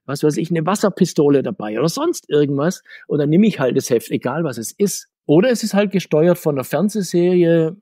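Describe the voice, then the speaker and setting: A middle-aged man answering interview questions, rambling and restarting his sentences, with sudden lifts in pitch. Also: expressive, brisk, hardly any pauses, mellow, breathy edge, no room sound, studio quality. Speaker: comics author and guest.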